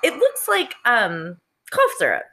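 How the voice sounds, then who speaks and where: A woman's voice: fairly high pitch, huge pitch swings, measured pace, background noise. A woman co-hosting a podcast in casual group chat, joking and swearing with frequent exclamations. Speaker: podcast co-host.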